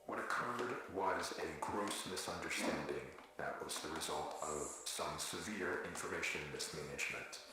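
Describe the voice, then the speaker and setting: A man playing a grave research institute official in a horror series, giving a measured, formal address. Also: very deep voice, background noise. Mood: grave, apologetic. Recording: echoey hall with loud music. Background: loud music.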